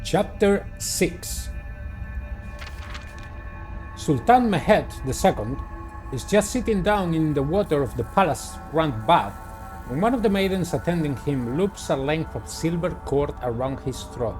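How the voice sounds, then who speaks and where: Male game narrator, slightly high register, theatrical horror-host delivery with formal phrasing and some hesitation, music underneath. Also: expressive, measured pace, a little mellow. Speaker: host of a gaming channel, narrator. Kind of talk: playthrough commentary and read-aloud visual novel narration.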